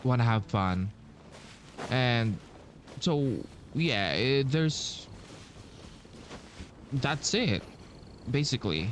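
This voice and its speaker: Very slow, halting male gamer. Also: mid-range voice, lively intonation, slight background noise. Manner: halting, thinking aloud with long pauses. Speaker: gaming streamer playing through a story quest. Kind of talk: casual playthrough commentary. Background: game music.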